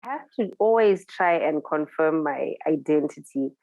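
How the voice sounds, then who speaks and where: A woman's voice: sits low, lively intonation, moderate pace, a little mellow, no room sound, lo-fi sound. A woman DJ with a Zimbabwean accent, talking casually in an interview, thoughtful and searching for words. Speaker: DJ guest.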